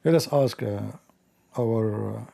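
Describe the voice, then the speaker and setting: A man talks in halting, broken English, stopping and restarting often. His tone is mocking. His voice is dark and gravelly. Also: fairly low pitch. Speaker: channel host. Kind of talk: casual commentary, quizzing an AI assistant.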